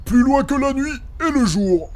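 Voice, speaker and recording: A man's voice: very high-pitched, animated, moderate pace, a little mellow. A man playing a lazy, clownish, heavyset companion in a comic fantasy audio drama, with a complaining, jokey manner.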